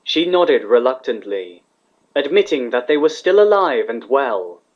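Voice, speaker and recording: A man's voice: medium pitch, big pitch swings, measured pace. A man reading scripted fiction as the narrator of a dramatic story. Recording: slightly dull, lo-fi recording.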